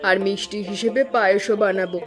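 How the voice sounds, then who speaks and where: A woman's voice: mid-range voice, fairly even intonation, quick pace, close to an everyday voice. A woman acting an eager village mother, music behind. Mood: warm, planning. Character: caring mother preparing eagerly for her daughter's visit. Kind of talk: cartoon voice acting.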